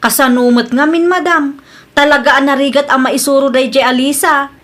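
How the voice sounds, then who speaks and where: Actress for hot-tempered female office snob, Filipino accent, high-pitched, whiny complaints, audio drama. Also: fairly even intonation, normal speed, fairly bright tone. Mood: indignant, defensive. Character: impatient, hot-tempered, haughty female senior employee. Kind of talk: acted audio comedy-drama dialogue.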